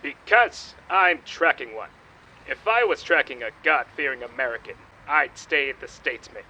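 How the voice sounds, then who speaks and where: A man playing a dogged, patriotic Nazi hunter, blunt and deadpan, in a comedic radio play. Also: mid-range voice, moderate pace, lo-fi sound. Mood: cool, pointed. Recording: faint background noise.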